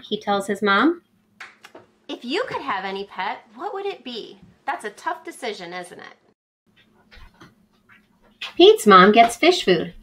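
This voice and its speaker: Woman, school read-aloud host, high lively voice, warm teacherly asides. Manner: gentle, animated reading with teacherly asides to children. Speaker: school librarian reading aloud. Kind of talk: children's read-aloud storytelling. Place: home.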